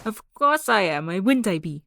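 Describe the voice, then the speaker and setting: A woman acting a scholarly professor in a fantasy audio drama. She is mid-pitched, polite and formal, composed with a gently teasing streak, over faint noise. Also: sing-song, normal speed, gravelly. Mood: assured, gently probing. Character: courteous, gently teasing female professor of arcane science.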